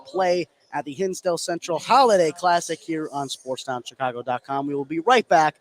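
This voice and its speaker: A man's voice: on the high side, normal speed. A man doing live radio play-by-play of a basketball game, working as the main announcer. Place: indoor basketball gym. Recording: noisy gym ambience behind.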